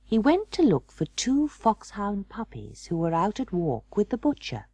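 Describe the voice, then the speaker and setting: A woman narrating a children's story in an expressive, measured and dramatic storytelling manner. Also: mid-range voice, no room sound. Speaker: audiobook narrator.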